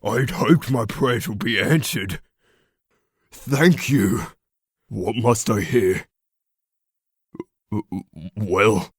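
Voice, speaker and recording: A man acts out a determined, troubled leader of a pack in a fantasy audio drama. His voice is on the low side and recorded dry and close, with formal, earnest, commanding phrasing. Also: extremely slow, pauses often, slightly rough, no echo, full character voice. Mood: desperate.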